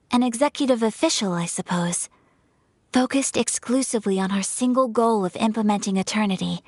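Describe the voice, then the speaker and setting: A composed young noblewoman in a game, pitched a little high with a soft breathy edge. She speaks formally and courteously, every phrase measured. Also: no room sound, studio quality. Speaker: noble of a ceremonial commission. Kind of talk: video game voice acting.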